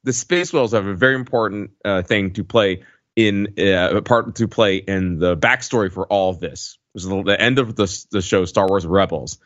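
A man with a low voice talks as a podcast co-host, picking through his thoughts with plenty of filler words. The sound is dry and slightly dull, and his style is casual and analytical. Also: moderate pace, a bit bright, no echo. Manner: hedging, filler-heavy phrasing with frequent "like" and "right". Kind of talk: casual analytical pop culture discussion.

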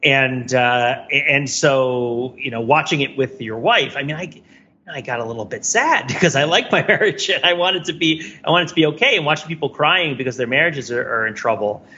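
A man with a bright, keen-edged voice at a middle pitch chats as a podcast co-host. He rambles through his thoughts with wry self-deprecation. The recording is a little dull. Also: normal speed. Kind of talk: casual conversational podcast chat.

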